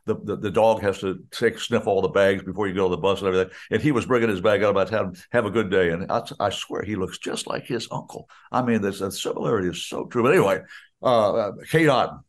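An older man with an American accent and a somewhat low voice chats casually as a sports radio announcer. He rambles, restarting sentences and drifting into asides. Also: fast.

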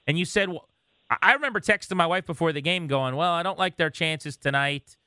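A man hosting a sports radio interview, talking conversationally and recalling games in an animated way. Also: fairly high pitch, a little fast, smooth, no room sound, clean studio sound.

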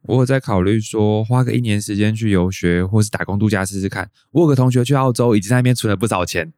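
A man's voice: lowish voice, quick pace, a little mellow, natural voice. An actor playing a wistful man in his early thirties with a Taiwanese accent, narrating reflectively in a radio drama. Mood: tentative, pleading. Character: wistful thirty-two-year-old man dreaming of life abroad.

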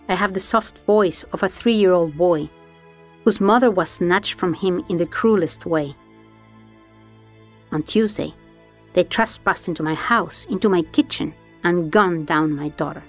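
A woman hosting an investigative documentary, giving measured, serious scripted narration. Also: fairly low pitch, soft background music. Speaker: documentary podcast host and narrator.

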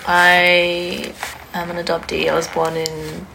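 A woman's voice: on the low side, completely flat delivery, takes it slowly, vocal fry. A woman with an Australian accent, a podcast guest who chats casually and asks lots of questions, full of "like". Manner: inquisitive, filler-heavy, lots of 'like'. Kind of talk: casual conversation. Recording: noisy recording.

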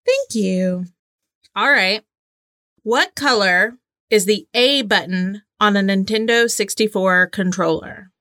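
Bright, giggly woman playing trivia. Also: mid-range voice, slow pace, no echo. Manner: giggly, excitable. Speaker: trivia game show co-host and contestant. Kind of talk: casual playful game banter.

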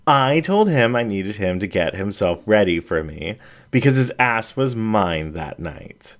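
A man voicing a playful adult female animal character in an audiobook, with an animated, confiding storytelling manner. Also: fairly low pitch, a little slow. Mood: confiding, fond. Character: playful adult female anthropomorphic animal sharing personal anecdotes.

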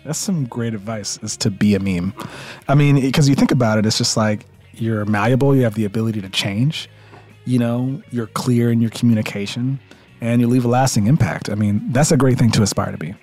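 A man co-hosting a comedy podcast, playful and quick to laugh. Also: mid-range voice, soft background music. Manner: playful, animated, laughs often. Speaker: podcast co-host.

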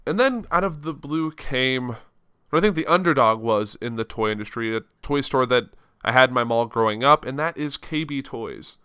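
Stuffed-up man with a bright, crisp voice. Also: mid-range voice, expressive, normal speed, no echo, clean studio sound. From Chicago suburbs, Illinois. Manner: casual, rambling, self-correcting. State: has a cold, stuffed-up sinuses. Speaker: podcast host. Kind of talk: solo news-commentary podcast.

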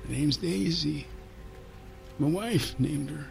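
A man voicing a dying old man, rough and pained, who speaks bluntly and haltingly in a told story. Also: fairly high pitch, lively intonation, soft background music, pitched above the performer's own voice. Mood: wistful, weary. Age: older. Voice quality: rough, pained, rasping, coughing.

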